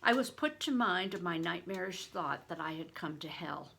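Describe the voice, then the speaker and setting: An older woman reading a novel aloud in an expressive, deliberate storytelling manner, stressing words for drama. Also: fairly low pitch, vocal fry, husky. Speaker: reader, retired reading teacher. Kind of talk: expressive audiobook-style read-aloud of a novel.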